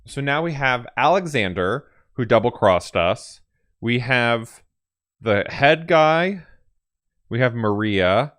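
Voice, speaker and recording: A man's voice: medium pitch, expressive, slow, fairly bright tone. A man hosting a reaction video, commenting casually on game cutscenes and thinking aloud informally. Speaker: gaming reaction host.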